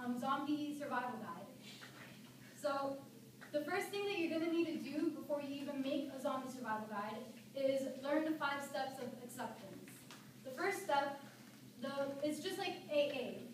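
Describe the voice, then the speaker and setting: High-pitched young woman in a very echoey room. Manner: conversational, colloquial, casually addressing listeners. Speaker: student speaker giving a speech. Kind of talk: informal humorous public speech. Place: echoey room, recorded from a distance. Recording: echoey room, distant mic, noisy background.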